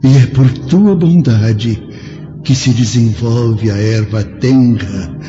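An actor with a Brazilian accent playing a wise, consoling old apostle in a radio drama, speaking with reverent gravity. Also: male voice, mid-range voice, natural voice. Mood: reverent praise. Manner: slow, reverent, preaching. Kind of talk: solemn radio drama acting. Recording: dull, reverberant radio drama over music.

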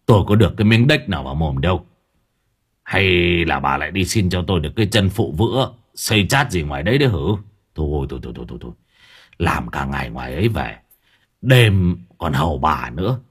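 A man's voice: a bass voice, very animated, a little fast, slightly rough, voice pushed down, full character voice. A man voicing a crude, grumbling village husband who curses and stammers with hesitant fillers. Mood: irritated.

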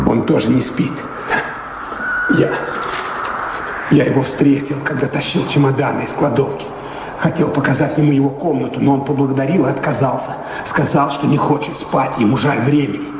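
A male actor plays a talkative, attentive household servant in a radio play. The voice is gravelly and confiding, at a medium pitch. It echoes in a hall with noise behind it. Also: moderate pace, pauses often, close to an everyday voice. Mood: hushed, confiding. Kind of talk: theatrical radio drama acting. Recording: echoey hall, long reverb, background noise.

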